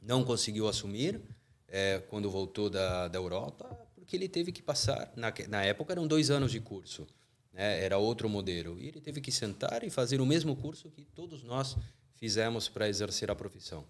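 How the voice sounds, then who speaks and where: Low-voiced man, firm and emphatic. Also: lively intonation, brisk. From Argentina. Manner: firm, emphatic, searching for words. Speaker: football coaching association coordinator, guest. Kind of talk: podcast interview answers.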